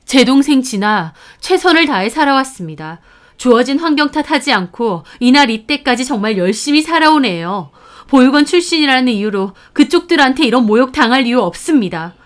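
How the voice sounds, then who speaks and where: A woman's voice: a little high, moderate pace, natural voice. Tough protective unnie type, woman narrator voicing her, firm and cutting. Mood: angry. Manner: firm, sharp and confrontational. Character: fierce protective older sister figure, landlady.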